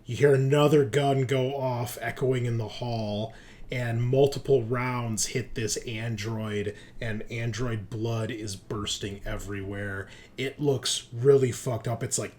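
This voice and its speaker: A man's voice: medium pitch, a little mellow. Casual male game master narrating. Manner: casual, colloquial, swearing. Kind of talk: narrating a tabletop roleplaying game.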